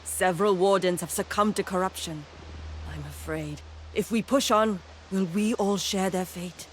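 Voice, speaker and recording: A man's voice: sits high, moderate pace, a little hiss or hum, close to an everyday voice. A man acting a worried, loyal warden in a fantasy video game. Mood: afraid. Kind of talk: video game character dialogue.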